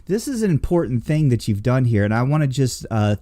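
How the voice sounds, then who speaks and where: A man's voice: mid-range voice, sing-song, fairly quick, mellow. A man co-hosting a casual talk show, speaking in an emphatic, rambling and profane way. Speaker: podcast co-host. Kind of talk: casual chat.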